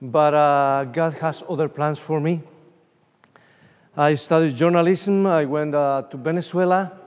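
A middle-aged evangelist from Uruguay speaks English with a Spanish accent, his voice sitting a little high for a man. He tells stories in an animated way and often pauses on "uh". The recording sounds distant, with long reverb from a large hall. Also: smooth. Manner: animated, storytelling, frequent fillers. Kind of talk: conference sermon with personal stories. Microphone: room microphone from a distance.

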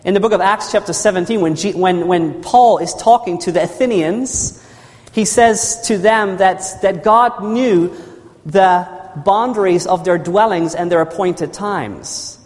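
A man's voice: high-pitched, a little slow. A man from New Zealand preaching a sermon in a church hall. He tells his story earnestly, repeats phrases and calls for amens. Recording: long reverb of a large hall.

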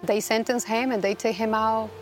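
A woman from Rica, a guest telling her story in a halting, earnest way with many restarts. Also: fairly high pitch, steady tone, faint music behind. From Costa Rica. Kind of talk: personal testimony interview.

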